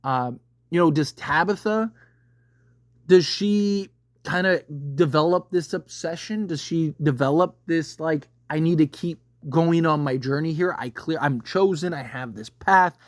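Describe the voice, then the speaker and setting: Male commentator, slightly high voice, casual and emphatic, circling back to stress points, closely recorded with a dry sound. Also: measured pace, no room sound. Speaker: video creator reviewing a TV series.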